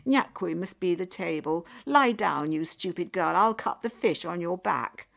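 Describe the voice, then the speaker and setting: Scolding storybook mother, played by a woman, sharp-tongued, hard and bossy. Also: sits low, expressive, takes it slowly, full character voice. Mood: cruel command. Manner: sharp, commanding. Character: harsh, scolding mother who plays favourites.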